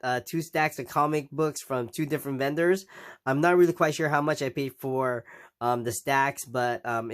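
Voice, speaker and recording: A man's voice: mid-range voice, a bit bright, clear, resonant tone. A man with a nasal voice from a recent cold, casually showing his purchases in a rambling vlog with many ums and restarts. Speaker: comic collecting vlogger. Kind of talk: casual show-and-tell vlog.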